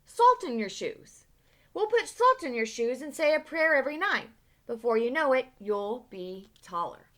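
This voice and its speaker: A woman voicing a kind mother who gently reassures her young son. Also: high voice, big pitch swings, unhurried, full character voice. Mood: reassuring. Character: kind mother cooking dinner.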